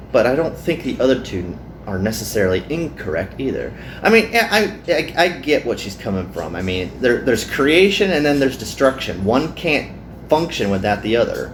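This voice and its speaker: A man doing a casual visual novel playthrough, reading aloud and adding joking, self-deprecating commentary. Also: lowish voice. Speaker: let's player. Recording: roomy echo, some background noise.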